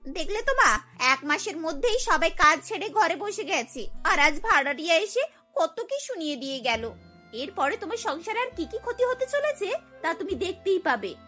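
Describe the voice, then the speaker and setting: A woman's voice: very high-pitched, very animated, moderate pace, pushed and bright, faint music behind, full character voice. A woman voicing a spiteful, scheming young married daughter in a cartoon, taunting and bossy. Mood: accusing. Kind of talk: cartoon voice acting.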